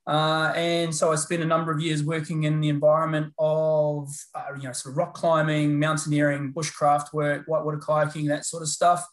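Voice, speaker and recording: A middle-aged man with a New Zealand accent, chatting as an interview guest in a relaxed, rambling way full of fillers and restarts. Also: a little high, normal speed, few pauses. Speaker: guest, paramedicine lecturer. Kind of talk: casual interview chat. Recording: roomy echo.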